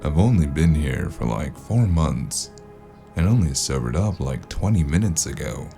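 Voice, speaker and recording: A weary noir investigator with an extremely deep voice full of gravel. He speaks in a dry, sardonic deadpan, as in a radio detective drama. Music plays clearly behind him. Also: measured pace, heavily acted. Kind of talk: noir audio drama acting and first-person narration.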